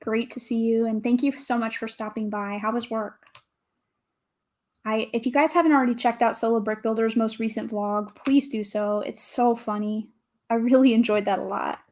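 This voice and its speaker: A woman with a calm, soothing voice, chatting casually with viewers as a livestream host, greeting and thanking them as she goes. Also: on the high side, moderate pace. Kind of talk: casual livestream chat while building.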